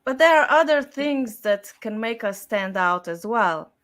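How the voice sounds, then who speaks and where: A woman speaking as an expert guest in an interview, explaining her points deliberately in short, emphatic phrases. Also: on the high side, expressive, fairly bright tone. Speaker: style and communication expert guest.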